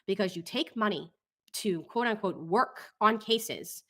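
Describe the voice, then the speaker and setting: A woman's voice: medium pitch, unhurried, fairly bright tone. A woman hosting casual commentary over clips, sarcastic and skeptical, drawing out her points. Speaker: commentary channel host.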